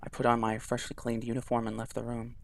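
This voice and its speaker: A man reading a visual novel aloud in a quiet, even storytelling voice. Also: mid-range voice, fast talker, vocal fry.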